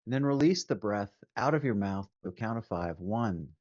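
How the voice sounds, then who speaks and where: A man leading a guided meditation as a teacher, calm and measured, giving gentle instructions with long pauses. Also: on the low side. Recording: phone-like narrow band, roomy echo.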